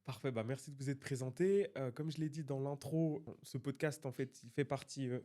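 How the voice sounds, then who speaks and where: A man hosts a relaxed podcast. He pauses often and fills the gaps while introducing and questioning his guests. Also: medium pitch. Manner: hesitant, many fillers. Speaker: podcast host. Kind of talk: casual podcast interview.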